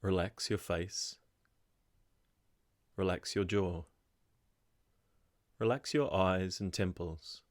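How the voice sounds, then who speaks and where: A man slowly guiding a body scan meditation in a soft, calm voice. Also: sits low, no room sound, studio quality. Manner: slow, soft, calm instructions. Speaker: meditation guide. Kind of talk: guided meditation.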